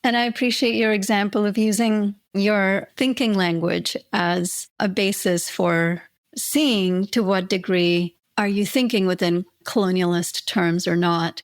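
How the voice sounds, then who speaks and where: A woman's voice: medium pitch, fairly even intonation, a little slow, no echo. A woman from India whose first language is Hindi, hosting an interview and sharing personal reflections in an appreciative, conversational way. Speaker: podcast host.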